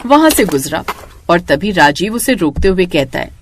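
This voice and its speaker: Animated female storyteller with lively intonation. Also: fairly low pitch, moderate pace, background noise. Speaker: narrator. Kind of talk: scripted storytelling narration for Hindi cartoon moral stories.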